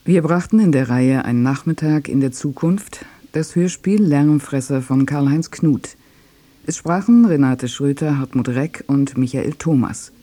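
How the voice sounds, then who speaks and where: Dark, mellow-voiced radio announcer. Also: mid-range voice, a little slow. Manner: formal. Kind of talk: scripted closing credits and programme preview.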